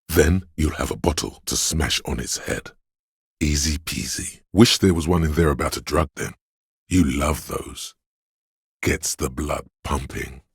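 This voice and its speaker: A profoundly low, husky male voice playing the inner voice of addiction in a video game. It crackles with gravel and coaxes slyly, seedy and indulgent. The sound is dry and close, like a tempter inside the head. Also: no room sound, exaggerated voice. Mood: sly coaxing. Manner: sly, seductive, indulgent coaxing. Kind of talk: video game character voice lines.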